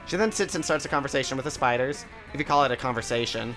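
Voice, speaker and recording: A let's play narrator reading game text at a brisk clip, with wry, deadpan asides. The pitch sits in the middle of the range. Faint music runs underneath.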